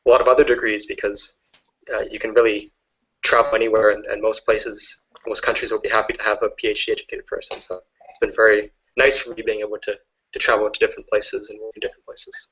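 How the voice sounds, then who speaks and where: A man's voice: fairly low pitch, steady tone, a little fast, bright, forceful tone, a little hiss or hum. A man in his thirties from Canada, introducing himself as a researcher on a video call, chatty with many fillers and restarts. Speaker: panelist, researcher. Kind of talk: casual self-introduction. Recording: dull lo-fi webinar audio.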